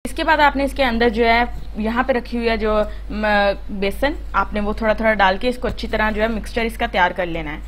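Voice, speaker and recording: A woman talks through a home recipe in a chatty, instructive voice-over, dropping in plenty of fillers as she goes. Her voice sits a little high. A faint hum runs under the recording. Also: brisk, few pauses, low-quality recording. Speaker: cooking channel host. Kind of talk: voice-over cooking tutorial.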